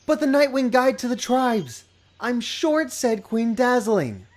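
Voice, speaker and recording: A man's voice: very high voice, big pitch swings, a little slow, a little hiss or hum, exaggerated voice. A man playing an earnest, bookish young male dragon in a fantasy dub, hesitant, formal and a little fussy. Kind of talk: dubbed fantasy drama acting.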